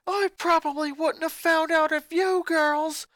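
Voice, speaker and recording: A woman voicing an angry, resentful young boy who rants and shouts at his family. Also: very high-pitched, fairly even intonation, measured pace, no room sound, studio quality, voice pushed up. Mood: bitter. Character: furious boy, eleven-year-old, bitter and resentful.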